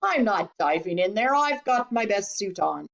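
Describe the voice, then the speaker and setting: A woman's voice: medium pitch, big pitch swings, moderate pace, creaky, muffled recording. A woman voicing a grumbling, unheroic middle-aged husband and father in a children's story, matter-of-fact and reluctant.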